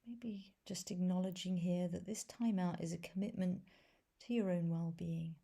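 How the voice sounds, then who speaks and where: A woman guides a meditation in a fairly low, dark and mellow voice. Her tone is husky and breathy, and she speaks softly and gently. She leaves long pauses between short phrases. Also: steady tone. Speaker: meditation teacher. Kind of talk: guided body scan meditation.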